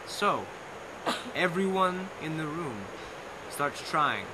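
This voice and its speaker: A man's voice: fairly high pitch, animated. A young man telling a bedtime story as a live theatrical performance, dramatic and playful, drawing out and repeating phrases. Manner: dramatic, drawn-out, playful repetition. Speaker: narrator. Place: outdoors on a lawn. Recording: noisy outdoor amateur live recording.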